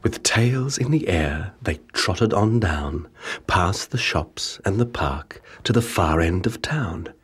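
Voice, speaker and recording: A man reading children's rhyming stories as an audiobook narrator, with measured, rhythmic, theatrical delivery. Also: deep voice, animated, a bit bright, soft background music. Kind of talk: scripted children's storybook narration in rhyming verse.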